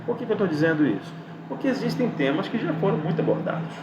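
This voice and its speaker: A man's voice: high voice, big pitch swings, fast talker. Talkative man teaching over background noise. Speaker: lecturer giving academic writing advice. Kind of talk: informal video lesson addressing the viewer.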